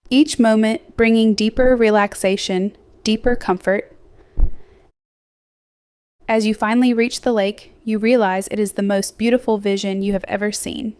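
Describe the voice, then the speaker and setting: A woman gently guiding a sleep hypnosis meditation in a slow, soothing, scripted delivery with softly trailing phrases. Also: mid-range voice, smooth, no echo, clean studio sound. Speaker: hypnosis guide.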